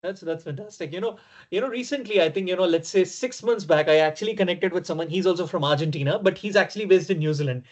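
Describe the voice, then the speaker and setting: A man of Indian origin hosting a podcast, asking questions in casual chat and often saying "you know". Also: sits high. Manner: quick, with frequent "you know" and short reactions. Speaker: podcast host. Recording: dull lo-fi remote audio.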